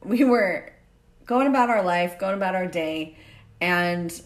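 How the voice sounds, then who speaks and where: A woman's voice: on the low side, expressive, measured pace. A middle-aged woman hosting a podcast, chatting casually as she interviews a guest. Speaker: podcast host.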